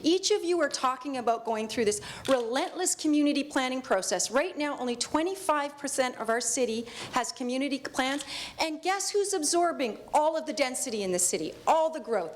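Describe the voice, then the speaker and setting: A woman's voice: high-pitched, lively intonation, brisk. A middle-aged woman, a mayoral candidate, arguing firmly and cutting in during a debate. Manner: firm, interjecting, insistent. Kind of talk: political debate. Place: debate stage before an audience.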